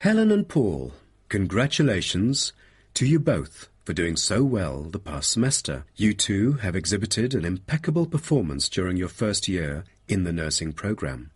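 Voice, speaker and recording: A man's voice: low-pitched, big pitch swings, normal speed, slightly rough, no room sound, studio quality, exaggerated voice. A man playing an encouraging college tutor in a scripted exam dialogue. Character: encouraging male college tutor interviewing two students.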